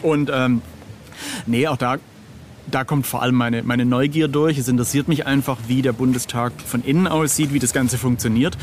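A man's voice: medium pitch, fairly even intonation, moderate pace, a little hiss or hum. A middle-aged man talking casually as an interview guest, hesitant and drily self-deprecating. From Germany.

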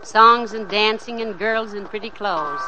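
An actress playing a chatty, homey middle-aged wife in an old radio drama. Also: female voice, mid-range voice, unhurried, lightly acted. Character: chatty, homey wife who loves musical pictures. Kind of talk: 1950s radio drama acting. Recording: noisy vintage radio recording.

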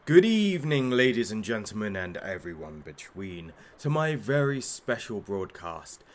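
A man's voice: lowish voice, very animated, a little slow. An actor playing a flamboyant, menacing showman hostage taker in an audio drama, speaking with theatrical, smug mock politeness.

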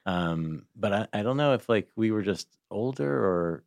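A man with a very low voice hosts a casual podcast interview. He speaks informally and in a rambling way, and the studio sound is very dry. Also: expressive, normal speed, gravelly, a little mellow, dry recording.

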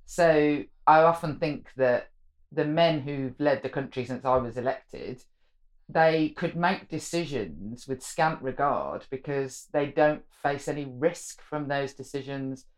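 A woman politician, talking frankly in a casual podcast chat. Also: measured pace. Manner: frank, swears casually, says she has a deep voice for a woman.

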